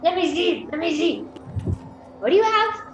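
A woman's voice: very high voice, normal speed, slight background noise. A woman playing a tabletop game, haggling in a breezy way. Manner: breezy, bargaining. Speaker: player.

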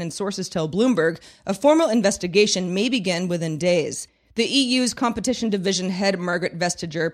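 A woman presents scripted news headlines for a podcast. Her voice sits at an average pitch for a woman. She delivers each item in a measured, matter-of-fact newsreader manner.

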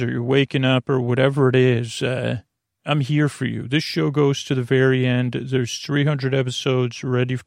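A man with a creaky, gravelly voice at a middling pitch talks as a podcast host in a bedtime monologue. He meanders and halts, drifting off into tangents and leaving thoughts unfinished. The sound is close and dry. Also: a little mellow, no echo. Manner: meandering, halting, trails off into tangents.

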